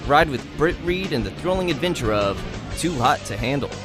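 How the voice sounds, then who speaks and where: A man's voice: on the high side, animated, fairly quick. A man narrating an old-time radio serial as its announcer, with dramatic, scripted delivery. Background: music.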